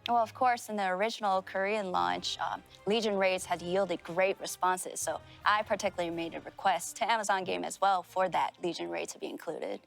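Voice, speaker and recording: A woman interpreting for a game developer in measured, formal phrasing. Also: fairly low pitch, fairly even intonation, gravelly, soft background music. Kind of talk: consecutive interpreting.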